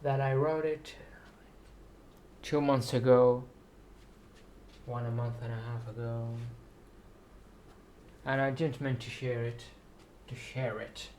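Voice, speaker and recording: Man, halting and unhurried, slightly ill with sore throat, rambling vlog delivery, mid-low pitch range not required, light noise behind. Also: steady tone, darkish tone. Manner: halting, repeats words. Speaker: writer introducing and reading own story. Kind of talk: slow rambling video monologue.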